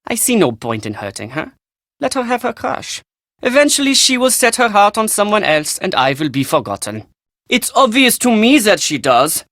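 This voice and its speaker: A man's voice: on the high side, fairly bright tone, no room sound, clean studio sound. A man playing a vain, prickly German fashion photographer in a video game, with a German accent and a pompous, sardonic, condescending manner. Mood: smug, self-important. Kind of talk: video game character dialogue.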